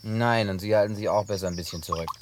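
A man's voice: on the low side, fast talker, slight background noise, natural voice. A man playing a wry farm-boy survivor. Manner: dry, teasing, laconic. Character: wry grown man, a farm boy at heart, teasing and guarded. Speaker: Jim, survivor in a German film dub. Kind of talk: German film dub.

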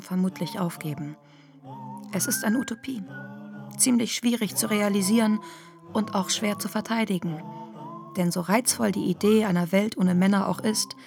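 An actress playing an embattled, defiant feminist internet activist, delivering a sardonic, polemical manifesto in a radio drama with a husky voice. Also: female voice, mid-range voice, darkish tone, natural voice. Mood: grim, polemical.

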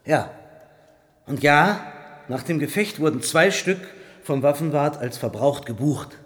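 An actor playing a stern, defensive and cynical army colonel in a radio drama, with sardonic, clipped official phrasing. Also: male voice, on the high side, lively intonation, a little slow, long reverb tail. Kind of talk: German radio drama dialogue.

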